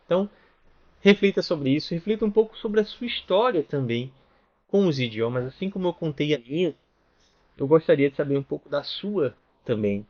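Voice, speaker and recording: A man's voice: a little high, expressive, moderate pace, highs cut off. A man from Brazil explaining in an online language lesson, often checking in with the listener. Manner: explanatory, with frequent "né?" and "tá bom?" check-ins. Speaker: language teacher.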